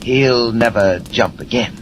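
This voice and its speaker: An actor playing a cold, gloating crime boss in theatrical 1940s radio serial style. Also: male voice, mid-range voice, slow pace, natural voice. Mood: coldly menacing. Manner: smug, menacing, theatrical. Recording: noisy vintage radio recording.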